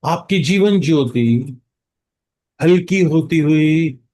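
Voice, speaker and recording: An Indian man leading a guided meditation as instructor, speaking calmly in short phrases with long pauses between them. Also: fairly high pitch. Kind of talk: guided meditation, mixing Hindi and English.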